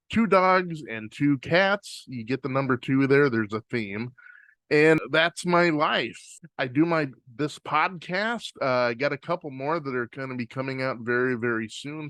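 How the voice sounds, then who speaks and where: A man's voice: medium pitch, unhurried, a bit bright, no room sound. A man hosting a podcast and reading listener mail in casual chat, rambling with frequent fillers and restarts. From US Pacific Northwest. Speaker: podcast host. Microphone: close studio microphone.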